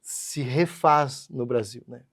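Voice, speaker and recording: A man's voice: mid-range voice, slow, gravelly. A man hosting an interview, reflective and affirming, often ending phrases with tag questions. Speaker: host.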